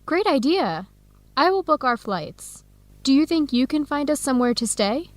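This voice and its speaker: Radio drama role: American woman academic, keen and encouraging, fairly high voice, dry studio sound. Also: sing-song, moderate pace, no echo. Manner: enthusiastic, encouraging. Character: eager, friendly American woman researcher new to Ethiopia. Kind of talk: radio drama acting, clear educational dialogue.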